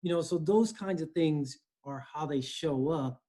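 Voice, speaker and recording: A man in his forties with an East Coast American accent speaks on a video call from a room at home. His voice sits a little high for a man but sounds dark and mellow. He talks earnestly and keeps checking in with his listener. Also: unhurried. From Connecticut, US. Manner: earnest, animated, frequent "you know" and "right?". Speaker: guest, HR director. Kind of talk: conversational interview.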